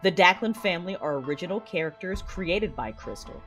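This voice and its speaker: A woman with a low voice presents the scripted closing of a fiction podcast. She reads credits and notices to listeners over soft background music. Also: animated, fairly quick. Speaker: show presenter reading credits.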